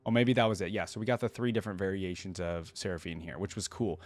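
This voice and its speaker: A man's voice: sits low, fairly even intonation, fast talker. A man hosting a reaction video with casual, rambling, enthusiastic commentary.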